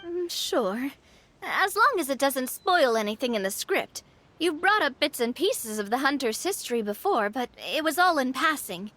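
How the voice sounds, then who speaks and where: Young game heroine, high-pitched, kind and good-natured, a touch playful. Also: female voice, very animated, moderate pace, sharp tone, exaggerated voice. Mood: thoughtful. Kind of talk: animated video game voice acting.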